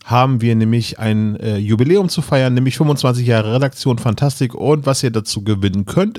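A man's voice: mid-range voice, darkish tone, no room sound, studio quality. A man giving a casual podcast introduction and giveaway announcement.

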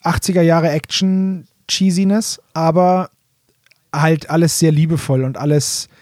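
A man's voice: on the high side, fairly even intonation, measured pace, darkish tone, dry recording, clean studio sound. A man co-hosting a podcast in a casual, conversational style. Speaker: podcast co-host.

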